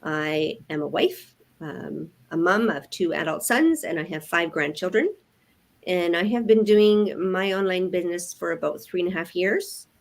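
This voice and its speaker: An older woman, a grandmother, speaking as a guest in a live online business chat in an earnest, measured way. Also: lowish voice, expressive, gravelly. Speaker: panel guest.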